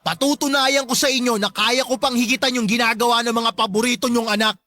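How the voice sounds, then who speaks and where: A man's voice: very high-pitched, steady tone, fast talker, bright, forceful tone, dry recording, studio quality. An actor playing a hurt, resentful adult son who pleads and protests to his mother in a Tagalog radio drama. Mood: hurt, defiant.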